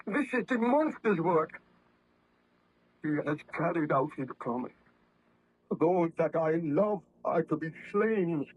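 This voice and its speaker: A man's voice: fairly high pitch, expressive, takes it slowly, long pauses, slightly rough, heavily acted. An actor playing an anguished, guilt-ridden nobleman scientist in an old-time radio horror drama, speaking in formal, fervent melodrama. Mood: anguished, urgent. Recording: vintage radio drama recording, slightly muffled.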